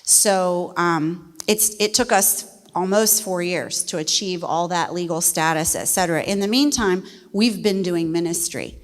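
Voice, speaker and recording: A middle-aged woman from West Virginia, a missionary speaking to a church congregation in a folksy, animated way. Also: on the low side, unhurried, hardly any pauses. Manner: folksy and animated, laughs easily. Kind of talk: church testimony talk. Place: church sanctuary. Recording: long reverb of a church hall.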